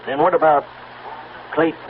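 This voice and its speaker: An actor playing a grave, paternal country judge, measured and admonishing, in an old-time radio drama. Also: male voice, fairly high pitch, steady tone, close to an everyday voice. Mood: reasoning, pressing. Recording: tinny, noisy old radio recording.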